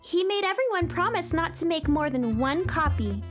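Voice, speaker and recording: A woman's voice: extremely high pitch, animated, normal speed, faint music behind. A woman playing a perky, chatty young girl space cowgirl in a children's audio drama. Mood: coy, bargaining. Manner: chatty, bubbly, girlish. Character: perky girl space cowgirl who wrangles lost sounds.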